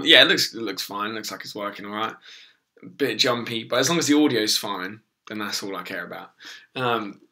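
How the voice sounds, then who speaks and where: A young man, hoarse from losing his voice, rambling casually through a live stream with lots of ums and swearing. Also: mid-range voice, pushed and bright. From England.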